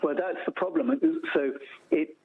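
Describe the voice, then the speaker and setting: A man speaks over a narrow, phone-like connection with very little room sound. His voice is creaky and rough-edged. He explains things as an interview guest, measured, repeating key words for emphasis. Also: high-pitched, sing-song, dry recording. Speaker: interview guest, policy campaigner. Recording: thin phone-like line.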